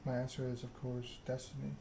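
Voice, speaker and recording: Man voicing a proud, measured vampire schemer, noisy. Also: mid-range voice, flat delivery, creaky, natural voice. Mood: smooth, persuasive. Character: proud, scheming vampire aristocrat telling their story. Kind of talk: ASMR read-aloud of game dialogue.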